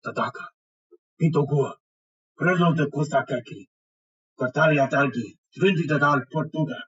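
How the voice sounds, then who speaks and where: Man putting on a gruff, forceful orcish raider. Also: moderate pace, distant mic, muffled recording. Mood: guttural, foreign tongue. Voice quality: deep, growling. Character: gruff deep-voiced orcish patrol raiders, wary and commanding.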